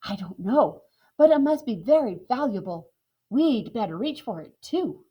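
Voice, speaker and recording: A woman's voice: a little high, sing-song, unhurried, husky, highs cut off, exaggerated voice. Woman doing tiny whispering mice. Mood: curious. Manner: whispery, curious. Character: two curious little mice.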